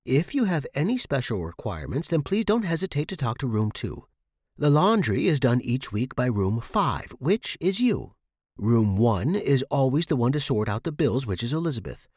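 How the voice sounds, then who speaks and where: A man voicing a stern, bossy landlady in audio fiction, giving brisk, clipped instructions. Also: mid-range voice, very animated, a little mellow, slightly rough, no echo, clean studio sound. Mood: stern, exasperated. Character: stern, bossy woman landlady with strict house rules. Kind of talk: audio fiction character reading.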